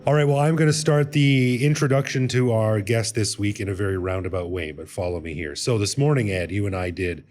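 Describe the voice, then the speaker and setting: Upbeat podcast host, low voice. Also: male voice, a little fast, hardly any pauses, a little mellow, faint music behind. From Canada. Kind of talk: scripted intro and casual interview chat.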